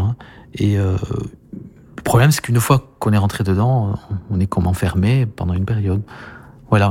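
A man, a pianist, speaking as a guest in a talk, halting and reflective with many restarts. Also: on the low side, warm tone, husky. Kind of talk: conversational radio talk.

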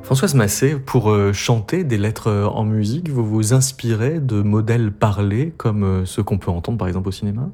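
A male radio host asking measured questions, with frequent hesitations. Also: fairly low pitch, warm tone, faint music behind. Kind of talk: cultural radio interview.

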